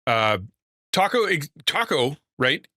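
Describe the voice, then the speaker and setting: Middle-aged pastor guy streaming, fatherly voice, bright tone, lots of restarts. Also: a little high, sing-song, slow pace, dry recording, clean studio sound. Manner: earnest, rambling with restarts, reads chat aloud. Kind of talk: casual livestream talk.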